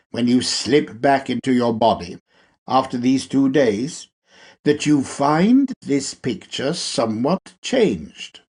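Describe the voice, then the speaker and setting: A man reading a spiritual lecture aloud in a deliberate, measured way, with emphatic pauses. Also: mid-range voice, a little mellow, husky. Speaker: reader.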